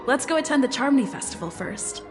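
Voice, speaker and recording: An actress voicing an easygoing young woman traveler in a game, speaking in an unhurried way. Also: sits high, animated, music in the background. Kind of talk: game dialogue.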